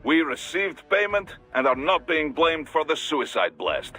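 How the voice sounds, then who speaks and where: An actor playing a gruff, businesslike Russian security boss in a video game, with a Russian accent. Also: male voice, fairly high pitch, big pitch swings, sharp tone, soft background music, exaggerated voice. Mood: matter-of-fact. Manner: businesslike, measured.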